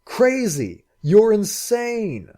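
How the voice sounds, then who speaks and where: A man voicing a desperate, angry young man who shouts and bellows. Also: on the low side, unhurried. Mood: furious. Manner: shouting across surf.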